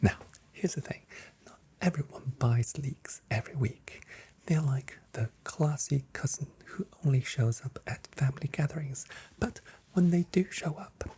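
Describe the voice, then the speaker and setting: A soft-spoken, whispery ASMR creator role-playing a grocery shop assistant with gentle, chatty sales patter. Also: mid-range voice, slight background noise. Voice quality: breathy, whispery.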